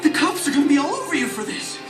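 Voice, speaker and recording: A man's voice: extremely high pitch, big pitch swings, fast. An actor playing an anxious, self-pitying young man in a motion comic, with a whiny, nervous way of talking. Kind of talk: motion comic voice acting with narration. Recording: roomy sound with music underneath.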